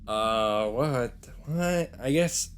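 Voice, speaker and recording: A man's voice: medium pitch, expressive, drawn-out words, a little hiss or hum. A man reading a visual novel aloud with dramatic delivery as a let's player, with faint game ambience behind him.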